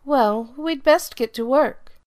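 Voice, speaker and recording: A woman plays a sly young fairy tale stepsister. She drawls very slowly in a highish, affected voice, dismissive of everyone, in audio drama style. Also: noisy background. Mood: feigned dismay.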